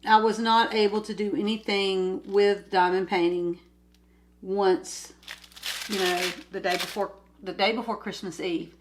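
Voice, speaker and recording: Slow-talking nasal grandmother. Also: medium pitch, fairly even intonation, a little hiss or hum. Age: older. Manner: rambling, chatty, easily sidetracked. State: nasal from a cold. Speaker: crafting vlogger. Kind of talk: casual chat while diamond painting. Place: at home.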